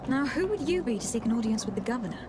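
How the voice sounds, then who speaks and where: A woman's voice: on the high side, lively intonation, very fast, over music, natural voice. A woman playing a poised, politely teasing young noblewoman diplomat in a video game. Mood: composed, authoritative.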